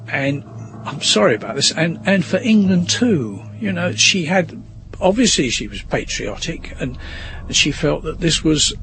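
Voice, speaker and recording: A man recalling events as an interviewee, emphatic and halting, repeating himself as he goes. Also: fairly high pitch, background noise. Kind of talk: spontaneous interview recollection.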